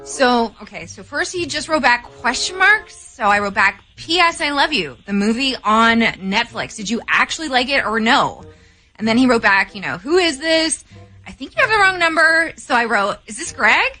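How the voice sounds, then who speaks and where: Bright, animated woman co-hosting radio. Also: on the high side, normal speed, soft background music. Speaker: radio show co-host. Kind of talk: casual radio chat.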